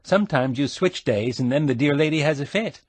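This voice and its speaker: Chatty eager hostess lady man voicing her, pitched up, kinda husky. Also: lively intonation, fairly quick, no room sound.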